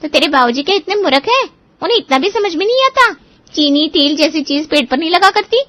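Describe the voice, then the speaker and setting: A woman's voice: very high voice, animated, a little fast, a bit bright. A woman playing a blunt, scheming young female friend in a cartoon moral story. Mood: incredulous.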